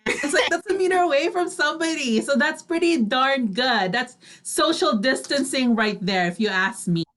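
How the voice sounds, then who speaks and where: A woman's voice: high-pitched, lively intonation, moderate pace, fairly bright tone. A woman co-hosting a radio show in a casual, chatty and agreeable way, peppering her talk with "like" and "you know".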